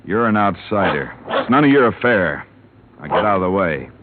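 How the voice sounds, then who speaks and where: An actor playing Frankie, a hot-tempered young ranch man, in 1950s radio drama style, swinging between hushed dread and frantic shouting. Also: sits low, very animated, moderate pace. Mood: threatening. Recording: tinny old radio broadcast, static.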